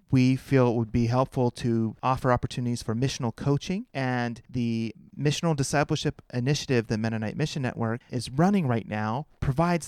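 Smooth-voiced man explaining upbeat. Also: medium pitch, darkish tone, dry recording, clean studio sound. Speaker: conference staff. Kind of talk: podcast interview.

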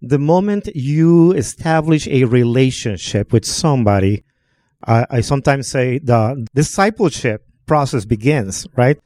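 A man's voice: medium pitch, expressive, unhurried, mellow, dry recording. A man from Honduras, a guest on a church podcast who talks reflectively and often checks in with "right?". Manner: reflective, peppers talk with "right?". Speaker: guest speaker. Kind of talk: conversational podcast discussion.

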